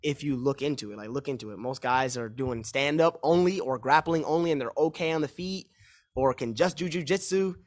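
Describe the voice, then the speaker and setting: A man in his twenties, a fighter answering press questions on a call, confident and repeating himself in fast run-on answers. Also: medium pitch. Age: 20s. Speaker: mixed martial arts fighter.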